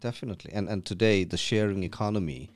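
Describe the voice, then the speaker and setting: A man's voice: fairly low pitch, steady tone, fast, no echo. A man from Sri Lanka, a podcast guest explaining things casually in a rented room and often restarting his words.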